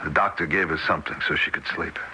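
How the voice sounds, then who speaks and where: An actor playing a weary, devoted older husband in an old-time radio drama, speaking in a subdued, halting way. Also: male voice, rumbling low, steady tone, bright, forceful tone, slight background noise, exaggerated voice, poor audio. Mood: troubled, hushed.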